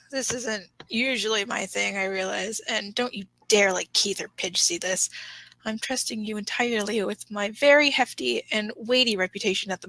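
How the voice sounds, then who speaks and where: Self-conscious young man, played by a woman, hesitant, kind, rambling, bright tone, for a fan audio drama. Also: mid-range voice, dry recording, rough recording.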